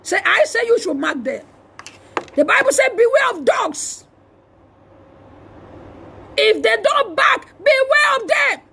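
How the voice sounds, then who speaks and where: A woman with a Nigerian accent preaching a fiery charismatic sermon, forcefully exhorting and often shouting, with emphatic repeated phrases. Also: extremely high pitch, sing-song, slow pace, vocal fry, pauses often. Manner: shouting delivery. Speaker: preacher and prophet.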